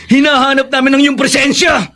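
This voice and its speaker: An actor playing a bitter, wounded grown son in an emphatic, accusing, melodramatic Filipino radio drama style. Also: male voice, very high-pitched, flat delivery, a little fast, sharp tone, heavily acted. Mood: bitter, anguished. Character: bitter, wounded grown son rejecting his returned mother. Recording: radio drama with music underneath.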